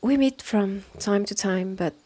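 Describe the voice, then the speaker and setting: A woman plays a calm, immortal healer and protective elder sister in an ASMR fantasy roleplay. She has a French accent and a mellow, breathy voice that often slips into whispers. Her delivery is slow and soothing. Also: mid-range voice, lightly acted. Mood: confiding, matter-of-fact.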